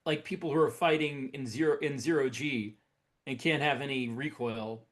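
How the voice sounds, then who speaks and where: A man's voice: mid-range voice, moderate pace. Man chatting as a podcast co-host. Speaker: podcast co-host and military sci-fi writer. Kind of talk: casual podcast discussion.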